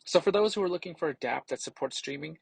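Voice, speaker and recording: A man narrating a scripted product demo as a tech reviewer, casual and explanatory, with a gravelly, slightly husky voice. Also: on the high side, expressive, quick pace, no room sound.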